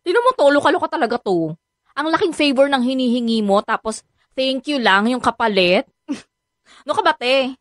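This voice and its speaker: An actress with a Filipino accent playing a sassy, frank best friend in a radio drama, teasing and exclaiming in slang. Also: female voice, high-pitched, lively intonation, normal speed, no echo, clean studio sound. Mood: frank scolding.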